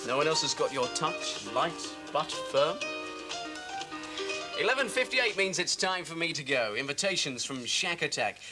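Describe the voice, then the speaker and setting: Male DJ, an actor in a TV drama, lively and teasing, fairly high voice. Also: normal speed, music in the background, close to an everyday voice. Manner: chatty, teasing on-air patter. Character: breezy male radio DJ, joking and worldly. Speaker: radio DJ. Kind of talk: 1980 TV drama acting.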